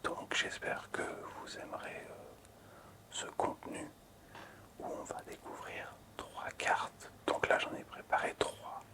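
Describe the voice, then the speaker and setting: A man whispers breathily as an ASMR host presenting collectibles, lingering and repeating words softly. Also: takes it slowly.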